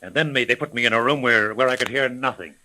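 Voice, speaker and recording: A stuffy, evasive millionaire from a 1930s radio mystery. The voice is mid-pitched, tense and bright. He picks formal words and stumbles over them, easily affronted. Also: slight background noise, poor audio. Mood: uneasy, recalling. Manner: halting, formal, indignant. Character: evasive, stuffy millionaire businessman, a kidnapping victim.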